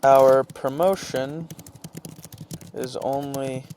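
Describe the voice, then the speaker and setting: Man giving an informal, halting software tutorial. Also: medium pitch, steady tone, background noise. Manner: halting, thinking aloud while typing and reading out script. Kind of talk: informal screen-share tutorial.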